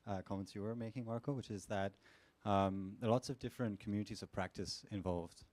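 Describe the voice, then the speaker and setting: A man speaking as a researcher on a conference panel, measured in pace and dotted with "you know" and "um". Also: on the low side, steady tone, a little mellow, no echo. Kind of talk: panel discussion answer.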